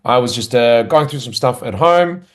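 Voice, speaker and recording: An easygoing young Australian man chatting loosely in an interview, self-deprecating and quick to laugh. His voice sits at a middling pitch for a man, relaxed and conversational throughout. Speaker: guest, comedian.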